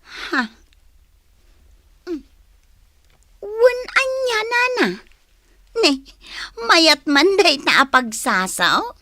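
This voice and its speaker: Slow, meek, high-voiced neighbour. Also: female voice, very animated, pushed and bright, no room sound, studio quality, heavily acted. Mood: hesitant, sheepish. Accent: Filipino. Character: meek, apologetic woman neighbour who shuns quarrels. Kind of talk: comic radio drama acting.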